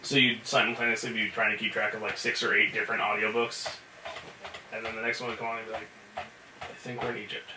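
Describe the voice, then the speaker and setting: Fast-talking man, echoey breakfast room. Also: on the low side, little pitch movement, bright, forceful tone, slight background noise. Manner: quick, chatty, enthusiastic. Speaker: company truck driver. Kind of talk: casual breakfast chat. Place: room during a breakfast, dishes clattering. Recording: echoey room, far from the mic, clatter of dishes.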